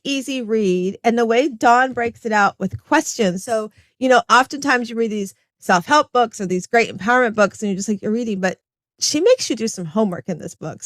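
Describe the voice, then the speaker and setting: A woman's voice: on the high side, animated, normal speed, fairly bright tone, dry recording. A woman hosting a podcast, asking questions and praising her guest in an enthusiastic, effusive way. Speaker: podcast host. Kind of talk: casual podcast interview. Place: Tampa area.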